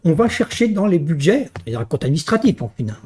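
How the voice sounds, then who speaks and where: Man, lecturer, didactic and emphatic, swooping intonation, slightly mellow tone, rhetorical tag questions. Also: on the high side, very animated, fast. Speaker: university lecturer. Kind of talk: teaching a technical finance course.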